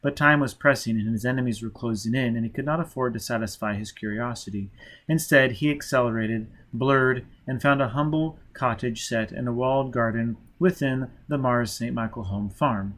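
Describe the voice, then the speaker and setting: A man's voice: mid-range voice, normal speed, fairly bright tone. A man reading a novel aloud as scripted audiobook narration. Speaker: audiobook reader.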